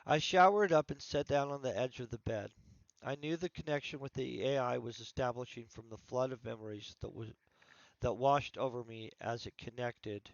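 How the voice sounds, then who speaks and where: A man's voice: medium pitch, normal speed, gravelly, no room sound, lo-fi sound. Congested man rambling on a livestream. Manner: rambling, thinking aloud with restarts. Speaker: author streaming his writing session. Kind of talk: casual livestream chat.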